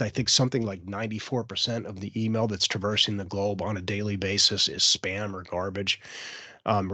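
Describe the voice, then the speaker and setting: Slightly hoarse man giving a webinar. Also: fairly low pitch, fairly bright tone, dry recording. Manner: explanatory, with frequent fillers and restarts. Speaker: cybersecurity presenter. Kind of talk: webinar presentation.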